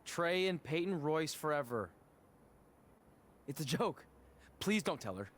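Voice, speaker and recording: A man's voice: fairly high pitch, very animated, unhurried, a bit bright. A man voicing an anxious, goofy young wrestling champion in a video game cutscene, self-deprecating and earnest. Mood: sheepish, awkward.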